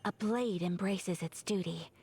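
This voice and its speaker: A woman's voice: mid-range voice, unhurried, darkish tone, breathy edge. A woman voicing a solemn, poised swordswoman in a video game.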